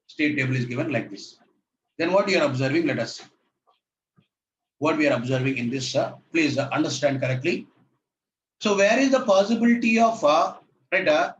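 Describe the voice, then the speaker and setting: A hoarse man giving an online lecture, repeating his phrases with many "uh" fillers and asking students whether they can hear him. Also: fairly high pitch. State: hoarse from a throat infection. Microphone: laptop mic. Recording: echoey, dull laptop recording with faint hiss.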